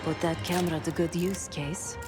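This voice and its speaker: A woman's voice: lowish voice, steady tone, normal speed, natural voice. An actress playing a cool, shrewd undercover woman spy in a video game, controlled and sardonic as she gives instructions. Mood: wry, composed. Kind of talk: video game dramatic acting. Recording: loud music behind.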